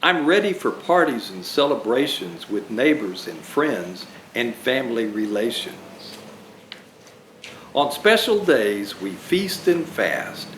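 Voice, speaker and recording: A man dressed as Santa reading rhyming children's books aloud in a slow, expressive way. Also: medium pitch, a little hiss or hum. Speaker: storyteller playing Santa. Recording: roomy echo.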